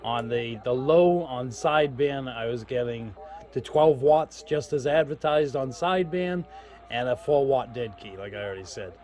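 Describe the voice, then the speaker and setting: A man's voice: medium pitch, normal speed, darkish tone. A man giving a casual hands-on product demo, explaining step by step in an informal, folksy way. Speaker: host of a radio gear review channel.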